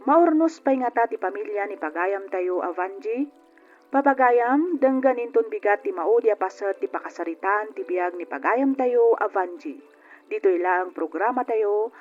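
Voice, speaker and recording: A woman's voice: mid-range voice, darkish tone. Woman announcer over music, dull recording. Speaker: radio drama announcer and host. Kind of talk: programme announcing with listener greetings.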